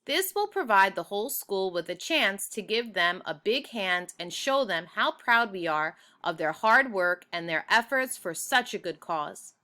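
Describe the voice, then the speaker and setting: A woman voicing a kindly, official woman principal making encouraging, measured announcements in a children's storybook. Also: mid-range voice, animated, bright, forceful tone. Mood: proud announcing. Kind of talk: children's storybook read aloud.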